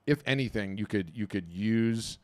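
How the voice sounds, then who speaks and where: Webinar host, middle-aged man, a bit low-pitched, emphatic and preachy, drives points home by repeating them. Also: moderate pace, dry recording. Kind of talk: live webinar talk.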